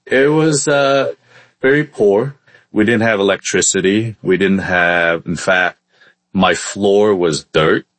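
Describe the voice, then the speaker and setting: A man's voice: low voice, takes it slowly, fairly bright tone, no echo. A man from Vietnam who moved to the US as a child, telling his story casually as an interview guest, hesitating often. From born in Vietnam, in the US from age ten. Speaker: podcast guest, entrepreneur.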